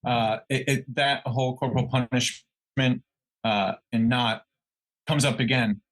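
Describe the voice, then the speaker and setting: A man co-hosting a podcast in casual true crime discussion, tentative and halting as he searches for words. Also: medium pitch, steady tone, fairly bright tone, rough recording. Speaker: podcast co-host.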